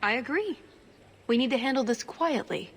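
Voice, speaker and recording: A woman playing a quick-witted, wry young rogue in a fantasy game, chatty and expressive. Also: high voice, husky, exaggerated voice. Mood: wry, conspiratorial. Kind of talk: conversational fantasy game acting.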